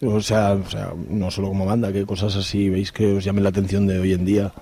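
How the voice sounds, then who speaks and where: A man's voice: sits low, monotone, fairly quick, dark tone, breathy edge. A man with an accent from Spain hosting an informal radio interview, with hesitant, rambling phrasing. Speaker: radio host interviewing a band. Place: radio studio.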